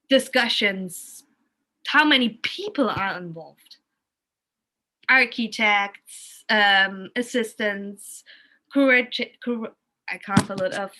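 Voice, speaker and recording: A woman's voice: fairly high pitch, bright, forceful tone, poor audio. A woman with a German accent, a native German speaker, talking casually and emphatically in an informal, opinionated way. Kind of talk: casual, animated conversation.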